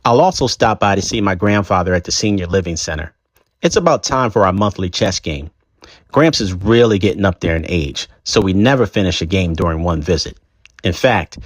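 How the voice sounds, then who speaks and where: Man, storybook read-aloud, low voice, dry close sound, expressive narration. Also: dry recording. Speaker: storybook reader.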